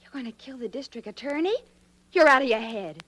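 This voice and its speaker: A streetwise moll in a vintage radio crime drama. She is sassy and full of herself, with a fairly high voice and slangy phrasing. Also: expressive, brisk, a bit bright, rough recording. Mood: alarmed, defiant. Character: cocky gangster's moll, vain but wary of murder. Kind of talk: 1940s radio acting.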